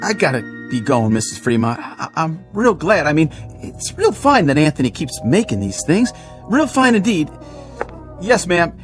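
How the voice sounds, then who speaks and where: A man's voice: fairly high pitch, very animated, moderate pace, soft background music. An actor playing a timid townsman in a radio drama, stammering and anxiously eager to please. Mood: nervous, placating. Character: nervous townsman, timid, over-agreeable with fear.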